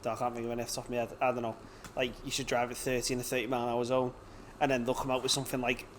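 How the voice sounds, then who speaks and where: A man's voice: medium pitch, monotone, very fast, slight background noise. A young man co-hosting a podcast, chatting casually with a laid-back, teasing manner. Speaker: podcast co-host. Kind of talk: casual chat.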